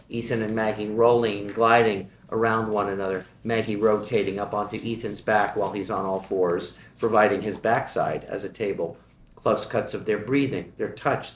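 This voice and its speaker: A man reading the stage directions of a screenplay at a table read in a steady, measured voice. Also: on the low side, slight background noise. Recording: echoey room.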